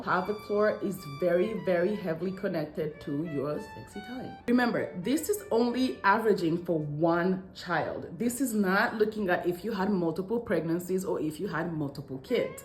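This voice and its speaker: A woman's voice: lowish voice, darkish tone, roomy sound. A woman in her thirties from Congo talking to camera as a lifestyle vlogger, conversational and emphatic. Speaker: vlogger, stay-at-home mother. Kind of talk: scripted talk to camera in a lifestyle vlog.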